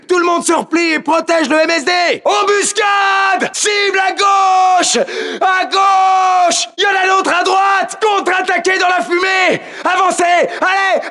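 An actor playing a gritty, commanding special-forces lieutenant in a video game, giving terse, clipped orders. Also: male voice, very high-pitched, vocal fry, sharp tone, exaggerated voice. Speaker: squad leader. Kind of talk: video game combat voicelines, French dub.

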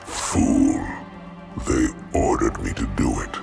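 A brutish alien warlord, gruff and very gravelly, sneers and boasts with cruel relish. It is cinematic game villainy over loud music. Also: male voice, a bass voice, heavily acted. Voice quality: gruff, growling. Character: brutish ape-like alien chieftain, gloating and cruel. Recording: dramatic music behind.

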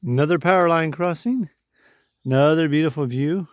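A man's voice: fairly high pitch, moderate pace, dry recording, dull audio. A man in his forties vlogging casually from a wooded mountain trail, phone held at arm's length, talking easily. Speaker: thru-hiker vlogger. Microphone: phone camera at arm's length.

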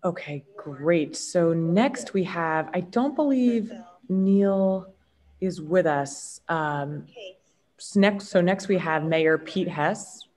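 Low-voiced woman chairing a hearing. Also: expressive, darkish tone. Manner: brisk and procedural. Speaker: committee chair.

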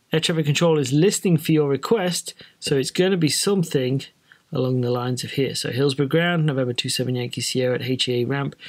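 A man with a British accent presents a casual tutorial for trainee pilots. His voice sits a little higher than usual for a man. He explains things informally, step by step. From Britain.

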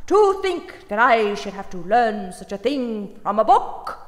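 A woman's voice: a little high, lively intonation, a little slow, a bit bright, reverberant, long reverb tail. A woman voicing an indignant, pompous emperor in a storybook reading.

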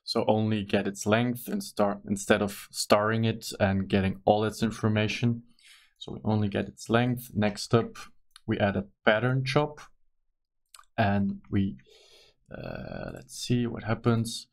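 A man with a Belgian accent and a somewhat low voice narrates a screen-recorded tutorial. He is not a native English speaker and explains carefully, pausing with ums and uhs as he clicks through each step. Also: expressive, vocal fry. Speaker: tutorial host.

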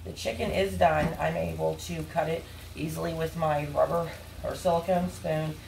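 A woman's voice: deep voice, steady tone, normal speed, darkish tone, a little hiss or hum, low-quality recording. A woman in her thirties chatting casually as she cooks at home, rambling with lots of ums and little apologies, recorded on a camera angled down at her stove in a home kitchen. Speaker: home cooking vlogger. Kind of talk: casual cook-along chat. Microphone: fixed camera angled down at the stove. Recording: clear frying sizzle in a home kitchen. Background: frying sizzle and stirring.

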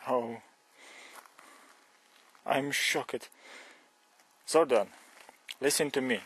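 A man's voice: medium pitch, a bit bright, breathy edge. A young man with a Polish accent, a cyclist speaking broken English while joking in a vlog, outdoors on a country path and filmed with an action camera. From Poland. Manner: playful, broken English, slow and exclaiming. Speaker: cyclist vlogger. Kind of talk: joking phone-call vlog. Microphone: action camera on helmet or bike.

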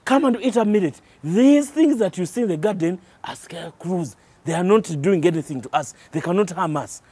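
A chirpy bird voice that swoops high and low, wheedling and singing to be let at the millet, as a male storyteller puts it on. The sound is close and dry, with no room around it, and the birds beg in a lilting chant. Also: expressive, brisk, mellow, husky, no echo. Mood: scheming. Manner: high, sing-song, wheedling. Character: flock of chattering, cheeky hungry birds.

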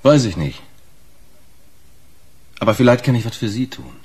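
Gruff trucker in a crime radio play, husky, really swingy pitch, blunt slang. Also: male voice, very animated, normal speed, a little mellow. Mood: guarded. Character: gruff male truck driver, slangy, wary informant. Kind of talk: naturalistic German radio crime drama.

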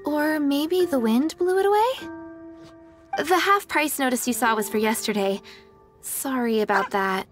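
A woman's voice: high-pitched, a little slow. A woman playing a polite, mild, apologetic young female shopkeeper in a video game. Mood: puzzled, apologetic. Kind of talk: English anime-style video game voice acting. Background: background music.

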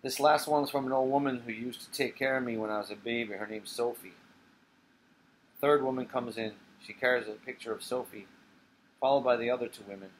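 Male author reading his play's directions, mid-pitched, with some noise behind. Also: steady tone, a little fast, poor audio. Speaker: playwright reading own play. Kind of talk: scripted reading of stage directions.

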